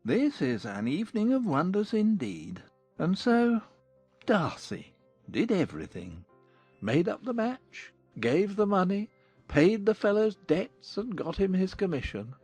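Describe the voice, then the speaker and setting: A man playing a wry, fond older English gentleman father with a measured, dryly humorous manner, in a dramatized audiobook of a period novel. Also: high-pitched, very animated, breathy edge, no room sound, soft background music, heavily acted. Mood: amused.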